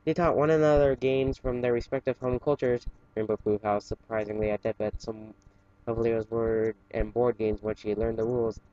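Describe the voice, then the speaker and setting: A man casually reading fan fiction aloud in a halting way, stumbling and correcting himself, with chatty asides. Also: medium pitch, steady tone, warm tone, no room sound, highs cut off.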